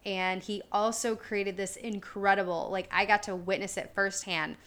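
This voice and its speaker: A woman's voice: mid-range voice, fairly even intonation, moderate pace, a bit bright. A woman hosting a podcast interview, talking with enthusiasm and a lot of filler words. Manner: enthusiastic, lots of filler "like" and "really". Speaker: podcast host.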